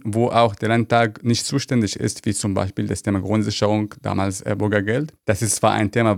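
Low gravelly guy explaining stuff plainly in an interview, dry sound. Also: dry recording. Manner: explaining step by step, many "also" and "zum Beispiel". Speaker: interview guest, civic education officer. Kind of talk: interview answers.

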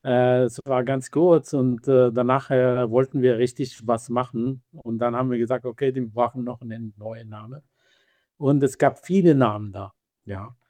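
A man with an Israeli accent and Hebrew as his first language, answering interview questions about his band in halting, filler-heavy speech with some non-native grammar. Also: medium pitch, fairly even intonation, mellow, no echo. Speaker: band member.

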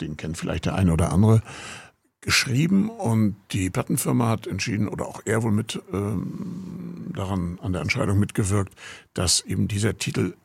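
Gravelly-voiced male radio host from Rostock. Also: lowish voice, no room sound. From Rostock, Germany. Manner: chatty, meandering, introducing music. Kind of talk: casual radio presentation.

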